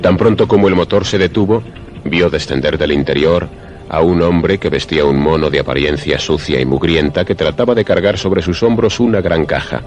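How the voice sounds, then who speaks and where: Very deep male suspense narrator. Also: darkish tone. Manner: measured, literary storytelling. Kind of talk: scripted suspense radio drama narration. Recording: prominent music behind the voice. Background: music.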